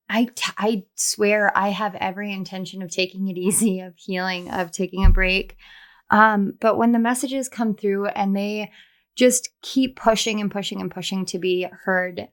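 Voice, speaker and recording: A woman with a slightly scratchy, soft voice from throat strain, chatting affectionately as a tarot reader, speaking close to her microphone. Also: mid-range voice. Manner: chatty and affectionate, many fillers. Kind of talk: casual spiritual vlog chat.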